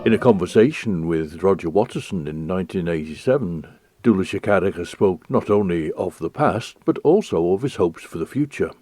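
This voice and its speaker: Man, radio presenter, husky low voice, lively pitch. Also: fairly quick. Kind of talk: reflective documentary narration.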